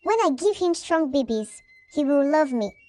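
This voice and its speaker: A woman's voice: sits high, expressive, moderate pace, a little mellow. A woman voicing a jealous, scheming young village woman in a folktale, dramatic and given to exclaiming. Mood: eager, scheming. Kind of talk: acted folktale dialogue.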